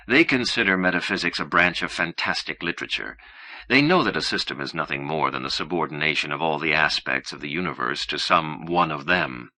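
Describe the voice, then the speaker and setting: A man reading literary fiction as an audiobook narrator, in a measured, formal and precise manner. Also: sits low, sharp tone, no echo.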